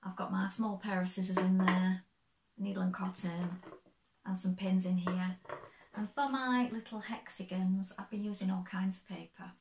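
Chatty, slightly croaky woman sewing vlogger. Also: medium pitch, steady tone, moderate pace, darkish tone, roomy sound, slight background noise. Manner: chatty, explains step by step. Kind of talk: casual show-and-tell. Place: bright sewing room, indoors.

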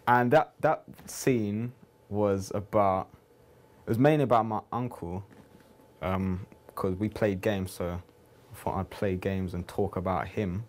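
Deep-voiced young English man, reflective. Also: unhurried, a little mellow. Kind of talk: casual documentary interview.

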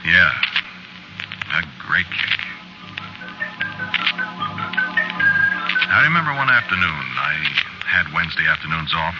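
A man's voice: low-pitched, close to an everyday voice. An American man acting as a brooding, guilt-haunted murderer who tells his story in a hesitant, colloquial 1940s radio drama style. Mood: brooding, wry. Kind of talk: 1940s radio drama first-person narration. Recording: muffled, very noisy 1940s radio recording.

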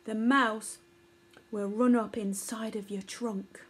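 A woman voicing a gentle, wise mother elephant in a children's storybook, explaining things solemnly. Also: on the high side, steady tone, takes it slowly.

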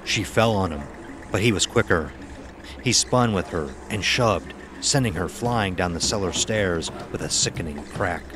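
A man's voice: deep voice, expressive, moderate pace, heavy background noise. A man narrating a scripted horror audio drama as its storyteller.

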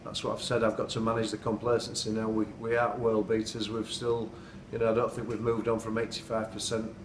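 A man's voice: fairly low pitch, completely flat delivery, fairly quick, recorded from a distance. A middle-aged man, a football manager giving a plain-spoken, earnest post-match interview indoors at night. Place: indoors at night, dim room. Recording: echoey room, off-mic, noisy.

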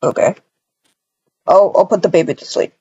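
A woman voicing an affectionate, easygoing husband and dad in a game roleplay, often repeating words haltingly. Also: on the low side, mellow, husky, no room sound, highs cut off. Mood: easygoing, caring. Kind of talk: amateur voiced game roleplay.

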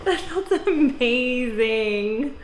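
High-pitched, giggly young woman. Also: expressive, very slow. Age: young adult. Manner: giggly, effusive, exclamatory. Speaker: chiropractic patient. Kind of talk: casual reactions during treatment. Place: indoor chiropractic treatment room. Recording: echoey room with background noise.

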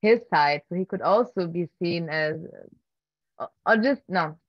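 A woman's voice: fairly low pitch, expressive, a little slow, no room sound. Hesitant woman on a muffled video call. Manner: hesitant, many fillers and restarts, soft laughs. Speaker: filmmaker, keynote guest. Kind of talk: conversational Q&A answers. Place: home room with wooden beams. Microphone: laptop webcam on video call.

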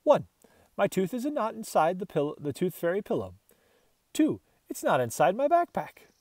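A man's voice: sits high, wildly swooping pitch, moderate pace, darkish tone, no room sound, clean studio sound, full character voice. Dad type guy doing a little girl sleuth from a picture book, earnest n orderly, lifted lilting voice. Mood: puzzling it out. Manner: earnest, methodical. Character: young girl, dog's owner, orderly amateur detective. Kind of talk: children's storybook character dialogue.

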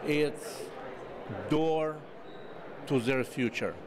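Older man, interview remarks, unhurried delivery, background noise. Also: on the high side. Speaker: board member. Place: large indoor lobby. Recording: noisy lobby ambience.

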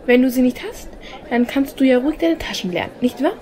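An actress playing a stern, officious theatre usher in an audio drama, curt and authoritative. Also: female voice, high-pitched, expressive, fairly quick, dark tone. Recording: faint background noise.

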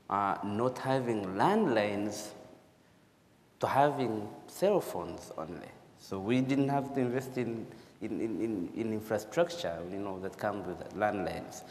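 A man's voice: on the low side, unhurried, a little mellow. A man with a Ugandan accent giving a formal conference presentation, repeating words for emphasis. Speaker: conference speaker, energy specialist. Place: large auditorium stage. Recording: echoey hall, noticeable background noise.

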